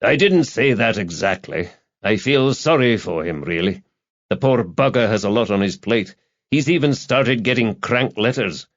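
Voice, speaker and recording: Guarded male insider, acted by a man reading: measured, matter-of-fact, lowish pitch, audiobook drama. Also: expressive, dry recording, muffled recording. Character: guarded, reluctant male confidant of a reporter.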